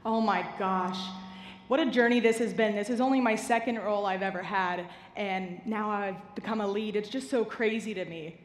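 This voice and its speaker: A young woman giving gushing, grateful, unscripted remarks from a convention stage. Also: mid-range voice. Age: young adult. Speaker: actress. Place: large convention hall stage. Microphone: handheld microphone. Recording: echoey large hall PA.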